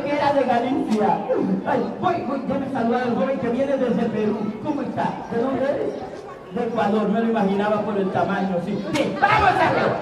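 A Cuban man doing comic street magic patter, a playful showman projecting to his audience in an outdoor square. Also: extremely high pitch. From Cuba. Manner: playful showman, projecting to a crowd, self-deprecating jokes. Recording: echoey outdoor crowd recording from far off.

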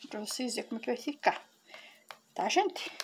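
A middle-aged woman talks to her viewers in a bright, high voice. She walks them through a simple recipe at home on her farm and keeps turning to them with "gente". A faint hiss of noise sits under her voice. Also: expressive, normal speed. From Brazil. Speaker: cooking vlogger. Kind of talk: casual cooking demonstration.

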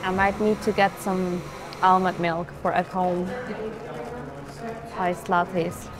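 A young woman from Austria, chatting casually to the camera as a vlogger, relaxed and talkative. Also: lowish voice, takes it slowly, background noise. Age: young adult.

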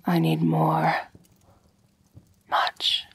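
A woman playing an elegant, doting, gently dominant vampire mistress in an intimate ASMR roleplay, coaxing in a soft, genteel voice close to the microphone. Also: sits low, completely flat delivery, a little hiss or hum, heavily acted. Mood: intimate, hungry. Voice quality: soft, breathy, husky. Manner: slow, coaxing, genteel, purring endearments. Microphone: close, dry microphone.

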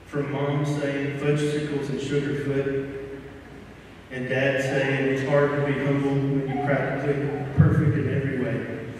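A man in his thirties delivers a eulogy from a church lectern, his voice flat and halting with emotion. The sound is heavily echoey with a long reverb tail, picked up from far off with faint room ambience behind. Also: mid-range voice, a little hiss or hum. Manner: halting and emotional, trailing off at line ends. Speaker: son giving a eulogy. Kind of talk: reading a memorial poem, then personal eulogy. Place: church sanctuary, speaking at a lectern. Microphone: lectern microphone, recorded from a distant camera.

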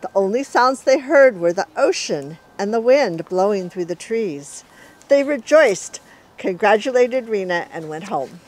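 Middle-aged woman, expressive storybook read-aloud. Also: mid-range voice, a little slow, poor audio. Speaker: author reading aloud.

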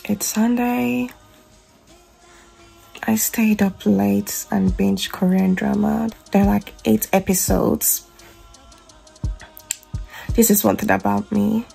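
A woman's voice: mid-range voice, steady tone, takes it slowly, gravelly, long pauses, mellow, soft background music, rough recording. A young woman talking to her phone camera from bed in a bedroom, chatty and confiding as she eagerly recommends something. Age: young adult. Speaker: vlogger. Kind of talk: casual vlog chat to camera.

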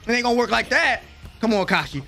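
Highly animated young American man reacting. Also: quick pace, creaky, soft background music. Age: young adult. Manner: animated, slangy, exclamatory. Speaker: reaction video host. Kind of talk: excited live reaction commentary to anime. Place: small room at home. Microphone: close desk microphone with headphones.

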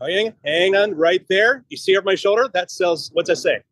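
A man's voice: high voice, normal speed, a bit bright, no room sound. A man in his fifties chatting casually as a podcast host, talkative and easygoing in style, speaking into a phone on a video call. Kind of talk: casual chat. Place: walking outside and into a studio building. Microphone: phone held at arm's length on a video call.

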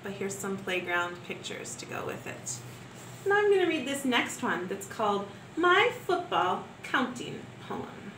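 A young woman teacher reading poems aloud to young pupils in an expressive sing-song way, with gentle asides between poems, filmed at home on a close webcam. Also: mid-range voice, measured pace, a little hiss or hum. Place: living room at home. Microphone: webcam at close range. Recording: echoey room with faint ambience.

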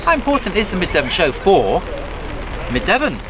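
A man's voice: sits high, wildly swooping pitch, fairly quick, noisy background. A man asking brief, friendly interview questions.

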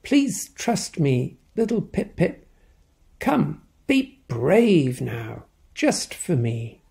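A woman voicing a loving, gentle mother bird in a storybook, speaking softly and coaxingly. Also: sits low, sing-song, very slow, gravelly, heavily acted. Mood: tender. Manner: soft and coaxing.

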